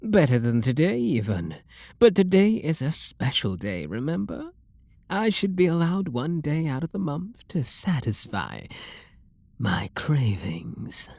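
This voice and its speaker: A man with a British accent playing a refined, possessive and seductive vampire lord in an intimate ASMR roleplay, speaking softly and coaxingly with a breathy, husky voice. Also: fairly high pitch, sing-song, no room sound, exaggerated voice. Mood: flirtatious, hungry. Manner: soft, coaxing, flirtatious, unhurried.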